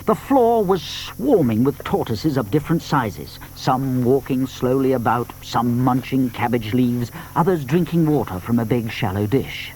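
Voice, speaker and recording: A man with a British accent narrating a scripted children's story in an expressive storytelling manner. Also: mid-range voice, measured pace, hardly any pauses, a little hiss or hum, poor audio.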